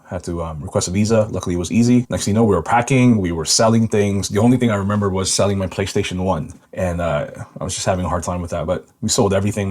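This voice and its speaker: Deep-voiced man telling his story casually. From Indonesia. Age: 30s. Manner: relaxed, rambling, many ums and restarts. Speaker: vlogger.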